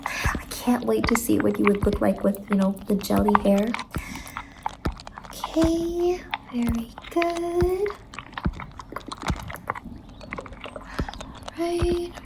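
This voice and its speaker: A woman playing a sweet, bubbly stylist from a dream land in an ASMR roleplay, speaking softly and often slipping into whispers. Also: a little high, slow pace, creaky, noisy background. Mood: cheerful, attentive. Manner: gentle, doting, chatty, often dropping into whispers. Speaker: ASMR performer.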